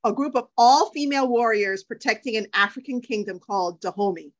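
A middle-aged woman co-hosting a podcast, chatting casually with quick enthusiasm and frequent laughter. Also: a little high, highs cut off. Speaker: podcast co-host. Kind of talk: casual chat.

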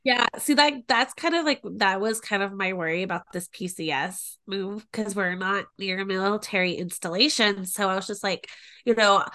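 A woman's voice: mid-range voice, normal speed, bright, forceful tone. A woman co-hosting a podcast, talking casually with a stuffy nose from a cold and lots of fillers. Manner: chatty, full of fillers. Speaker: podcast co-host.

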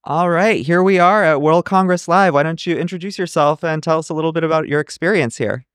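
Higher-voiced man hosting a podcast. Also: a little fast, a bit bright, smooth, no room sound, clean studio sound. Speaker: podcast host. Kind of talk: casual on-the-spot interviewing.

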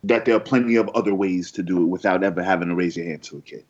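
A low-voiced man talks earnestly and haltingly, often restarting mid-thought. The sound is dull and lo-fi, as from a cheap podcast mic. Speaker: podcast co-host. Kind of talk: casual chat.

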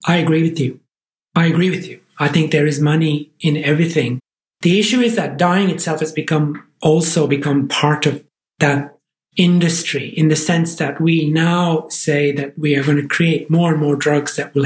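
Irish man talking in a roomy echo. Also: a little high. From Ireland. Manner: emphatic, storytelling. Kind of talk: podcast conversation.

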